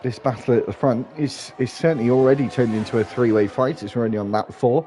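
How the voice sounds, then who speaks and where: A male race commentator calls a sim racing event live, his voice a little stuffy from hay fever. He talks in a steady, running flow and sometimes restarts a phrase mid-sentence. His voice sits at an everyday middle pitch, with a faint hiss of noise behind it. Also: fast talker.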